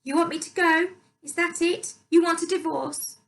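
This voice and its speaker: A woman's voice: fairly even intonation, moderate pace. A woman voicing a spiteful, petulant stepmother with a whiny voice in a dramatic storybook reading. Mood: shrill, accusing. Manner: petulant, shrill outbursts.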